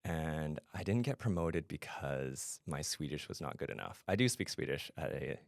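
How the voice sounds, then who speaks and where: A man's voice: very deep voice, expressive, normal speed, gravelly, no echo, clean studio sound. A middle-aged man from Canada speaking conversationally as a guest in an interview. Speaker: podcast guest, fintech product adviser and founder.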